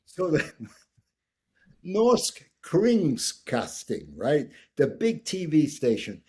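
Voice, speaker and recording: An older American man telling a long anecdote in conversation, lively and husky, often checking in with "right?". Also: a little high, extremely slow. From United States. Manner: animated raconteur, repeats "right?", acts out quoted voices. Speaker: guest. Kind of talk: storytelling in conversation.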